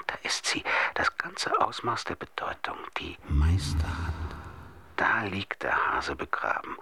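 Low-voiced, muttering, brooding man. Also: sharp tone, exaggerated voice. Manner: halting, muttering, often dropping into breathy whisper. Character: brooding man obsessively deliberating over absurd trifles. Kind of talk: literary radio drama monologue.

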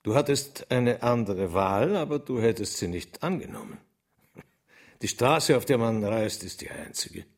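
An actor in a radio drama playing a frail, obsessive, childlike genius husband, earnest and precise, with a husky voice. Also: male voice, mid-range voice, expressive, unhurried. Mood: earnest, resigned. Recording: studio radio play, faint music.

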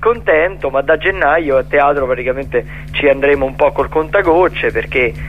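A man chatting about cultural listings on the radio, with hesitant, drawn-out phrasing. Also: a little high, phone-quality sound, soft background music.

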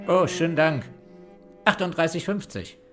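Actor as a high-voiced, surly garage mechanic. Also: male voice, expressive, normal speed, faint music behind, lightly acted. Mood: dry, reserved. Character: odd, dry, surly small-town garage mechanic. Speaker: actor in crime radio drama. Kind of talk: radio drama dialogue.

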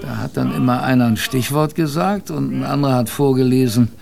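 Gravelly guy narrating a radio drama as a polar expedition survivor, wry and plainspoken. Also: mid-range voice, brisk, a little mellow. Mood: wry, reflective. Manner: wry, colloquial storytelling.

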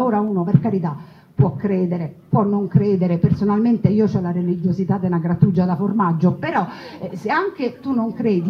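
A woman with a Roman Italian accent performing a comic storytelling monologue, wry, with emphatic repetition and dramatic pauses. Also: mid-range voice. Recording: dull live recording with background noise.

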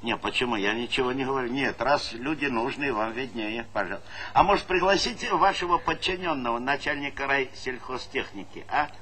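An actor playing a brisk, folksy, middle-aged collective farm chairman who is bossy and repeats words in quick bursts, in a stage comedy. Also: mid-range voice, pushed and bright, lightly acted. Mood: deferential, affable. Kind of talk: theatrical stage comedy acting. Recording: noisy 1970s Soviet theatre recording.

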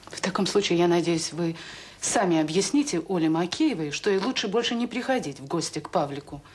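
Husky, prim, disapproving mother. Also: fairly low pitch, fairly quick, a little mellow. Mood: coolly polite. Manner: formal, condescending, firmly polite. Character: prim, disapproving, status-conscious mother, adult woman. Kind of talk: naturalistic radio drama acting.

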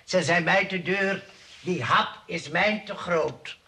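An actor playing a skeptical, penny-pinching customer in a comic radio play, blunt and dismissive. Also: male voice, sits high, slow, noisy background.

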